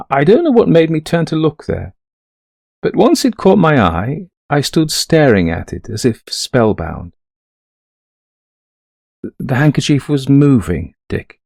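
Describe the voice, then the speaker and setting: An actor playing a middle-aged, greying widower in a ghost story, confiding an eerie haunting to a friend in genteel, old-fashioned phrasing. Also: male voice, low-pitched, creaky, a little mellow, no echo, clean studio sound, full character voice. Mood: rueful, horror-stricken. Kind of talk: dramatised first-person ghost story narration.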